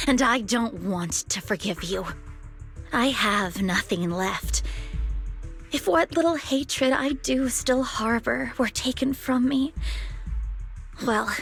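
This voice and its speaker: A woman's voice: a little high, fairly bright tone, full character voice. An actress playing a bitter, grieving young woman raised in a cult, wounded and resentful, in a dramatic video game scene. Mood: cold, hateful. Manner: slow, deliberate, wounded and resentful. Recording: music behind the voice.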